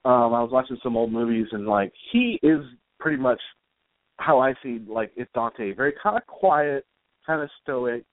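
Guy chatting casually in an interview, kinda halting and rambly, sounds like hes on a phone call. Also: medium pitch, no echo. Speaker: guest, comic book author.

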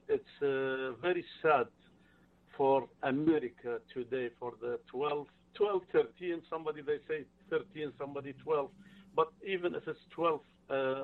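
Man, English, earnest and halting, tinny faraway phone line. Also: medium pitch, far from the mic. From Iraq. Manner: halting, earnest, non-native phrasing. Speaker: caller. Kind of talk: phone-in call to a talk show.

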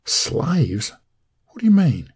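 A man's voice: on the high side, huge pitch swings, slow pace, a little mellow, husky, exaggerated voice. A man playing an uneasy, questioning henchman in a radio drama. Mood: uneasy, baffled. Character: uneasy henchman questioning his villain boss.

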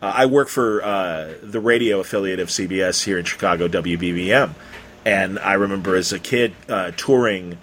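A man's voice: deep voice, normal speed, fairly bright tone, a little hiss or hum. A middle-aged man hosting a casual interview in an informal, rambling way, circling around his questions. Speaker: radio host interviewing an author.

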